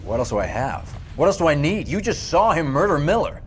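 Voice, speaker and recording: Man acting embattled low-voiced army sergeant. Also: lively intonation, normal speed, soft background music, close to an everyday voice. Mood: urgent, angry. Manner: terse, insistent, bristling under questioning. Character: embattled, defiant American military sergeant under interrogation. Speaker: video game voice actor. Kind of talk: cinematic game drama acting.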